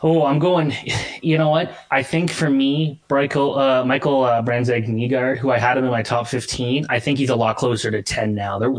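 A man speaks as a guest sports analyst in a casual interview. His voice is medium in pitch. He talks in a halting way, often restarting and correcting his phrasing as he gives his take. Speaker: hockey analyst, guest writer.

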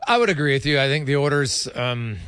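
Bright, forceful man hosting sports radio. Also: mid-range voice, animated, fast talker, clear, resonant tone, no echo, clean studio sound. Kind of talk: casual sports talk.

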